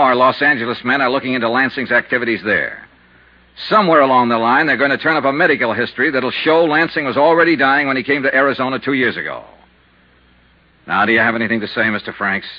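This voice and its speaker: Hard-boiled male investigator, medium-pitched and husky, blunt, muffled 1950s radio serial. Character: tough, persistent male insurance investigator narrating his case. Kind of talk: 1950s radio drama acting and narration.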